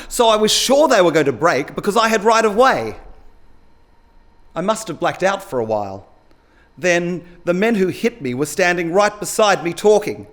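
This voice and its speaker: Man acting vengeful male cyclist, storybook reading, indignant, colloquial, raised lively pitch. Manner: emphatic, aggrieved, colloquial.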